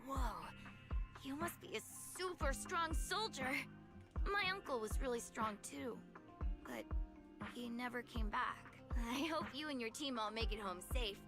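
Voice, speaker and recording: A brave, innocent little boy in a video game. The voice is high and childlike, sincere and a little hesitant, over clearly audible music. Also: expressive, a little slow. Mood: curious, eager, then hesitant, sad.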